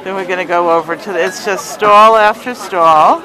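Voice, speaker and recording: A woman's voice: mid-range voice, moderate pace, pushed and bright, poor audio. A woman with a cheerful, chatty, promotional manner talks as she walks through an outdoor market. She is recorded on a handheld phone camera. Her voice sits in the middle of the range, and a dense crowd murmurs around her. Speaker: travel agent and host. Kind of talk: handheld vlog walking tour. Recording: busy crowd noise around.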